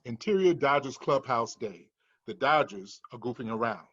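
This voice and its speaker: A man's voice: medium pitch, animated, moderate pace, fairly bright tone, breathy edge, muffled recording. A man reading screenplay stage directions as scripted narration.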